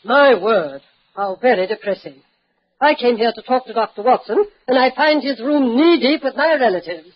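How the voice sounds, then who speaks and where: An actress playing an imperious, coy old British dowager duchess in 1940s radio drama. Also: female voice, on the high side, lively intonation, normal speed, noisy background, close to an everyday voice. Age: older. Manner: haughty, commanding. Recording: muffled old radio recording.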